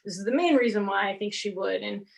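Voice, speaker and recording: A woman in her forties whose first language is Portuguese, telling an animated story on a convention panel and acting out the exchanges she quotes. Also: mid-range voice, fast, vocal fry. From half Brazilian, grew up speaking Portuguese. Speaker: panelist author. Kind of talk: casual panel talk.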